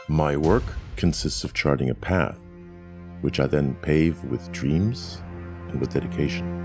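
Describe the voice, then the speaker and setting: Deep-voiced man narrating a film over music. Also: a little mellow. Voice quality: deep voice, clear pronunciation. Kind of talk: slow, dramatic film narration.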